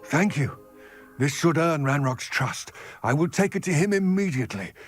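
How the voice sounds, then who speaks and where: An actor voicing an aging, proud goblin in a video game, speaking in a formal, measured, eloquent way. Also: male voice, fairly high pitch, lively intonation, slightly rough, soft background music. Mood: pleased, grateful. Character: aging male goblin, solemn, proud, formal former fighter. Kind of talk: video game cutscene acting.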